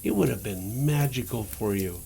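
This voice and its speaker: An older man with a gravelly voice reads a storybook aloud to children as Santa, gently and full of wonder, addressing them directly. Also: fairly low pitch, lively intonation, moderate pace, slight background noise.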